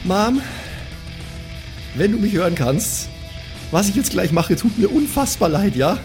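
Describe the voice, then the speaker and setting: An agitated young slacker played by a man in an improvised tabletop audio game. The voice is pushed up high and exclaims in slangy bursts. Music plays behind it. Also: big pitch swings, a little slow, exaggerated voice. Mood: pleading, apologetic.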